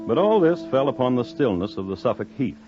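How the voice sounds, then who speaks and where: An actor narrating a 1950s radio crime drama as a dry-witted, deadpan storyteller with formal, measured phrasing. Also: male voice, mid-range voice, lightly acted. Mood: droll, measured. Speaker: host and narrator. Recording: dull old radio recording. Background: faint music.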